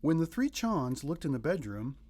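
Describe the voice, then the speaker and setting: A woman with a husky voice narrating a children's storybook aloud in an animated read-aloud style. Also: low-pitched, moderate pace.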